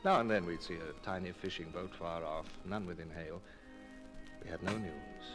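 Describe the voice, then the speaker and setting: Calm, wry naval commander male actor, low and formal, old radio adventure with prominent music. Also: normal speed, natural voice. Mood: grave narration. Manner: crisp, formal, literary. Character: calm, wry British naval commander narrating his voyage. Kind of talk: old-time radio drama acting.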